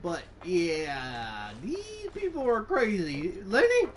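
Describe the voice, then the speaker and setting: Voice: medium pitch, wildly swooping pitch, takes it slowly, a little hiss or hum. A gamer giving casual, blunt, joking commentary while playing a video game. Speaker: gamer, channel host.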